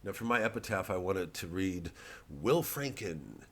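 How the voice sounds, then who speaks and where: A low-pitched male comedian talks casually to his online audience. He rambles and digresses, often halting to find his thread, with a self-deprecating manner.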